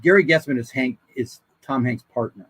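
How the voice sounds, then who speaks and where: Older American man telling animated stories. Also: medium pitch, moderate pace, slightly rough, dry recording. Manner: animated, acts out quoted dialogue. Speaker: guest voice actor. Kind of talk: casual anecdotal storytelling.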